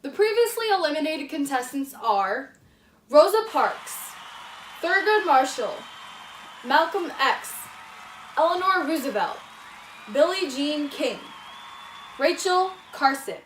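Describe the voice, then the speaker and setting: High-voiced woman as showy game show host, echoey room. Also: sing-song, slow pace, long pauses, a bit bright, a little hiss or hum. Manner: showy announcer delivery. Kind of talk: mock game show hosting for a school project. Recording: roomy echo.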